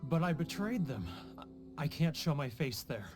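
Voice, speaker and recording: A man's voice: fairly high pitch, very animated, normal speed, over music. An actor playing a homesick, guilt-ridden male merchant in a video game, theatrical and sighing, self-pitying in manner. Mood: guilty, despairing. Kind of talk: video game character acting.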